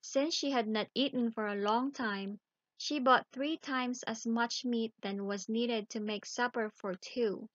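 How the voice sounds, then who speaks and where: A woman storyteller reading a children's bedtime story aloud in a measured, deliberate way. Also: fairly high pitch, fairly even intonation, a bit bright, dry recording, dull audio.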